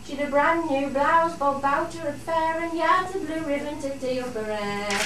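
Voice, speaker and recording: Female ballad singer, Cumbrian dialect, high voice, strong echo, set back from the mic. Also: normal speed. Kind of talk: dialect folk ballad singing with refrain. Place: studio theatre. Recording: very echoey, distant, faint noise.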